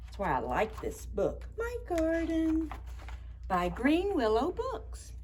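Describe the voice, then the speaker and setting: A woman hosting children's story time, reading picture books expressively with drawn-out words and chatty asides. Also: medium pitch, gravelly, a little hiss or hum. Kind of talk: animated picture book read-aloud for preschoolers.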